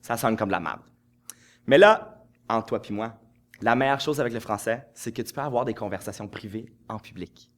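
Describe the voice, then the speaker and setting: A young man, delivering scripted sketch lines in a playful, expressive way. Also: fairly low pitch, normal speed, slight background noise. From Manitoba, Canada. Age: young adult. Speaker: youth project member.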